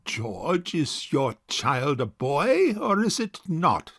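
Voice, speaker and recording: A stubborn, moneyed bachelor gentleman in an audio farce. He speaks slowly and formally, with a very animated, stuffy delivery. The recording is dry. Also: on the high side, breathy edge, dry recording, heavily acted. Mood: stern, unyielding. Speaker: voice actor. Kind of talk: stage farce audio drama acting.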